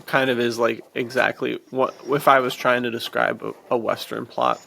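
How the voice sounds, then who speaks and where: A man's voice: mid-range voice, level tone, normal speed, gravelly, bright, forceful tone, slight background noise. A man co-hosting a podcast, chatting casually about books with laid-back enthusiasm and plenty of "dude". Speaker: podcast co-host. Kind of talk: casual book chat.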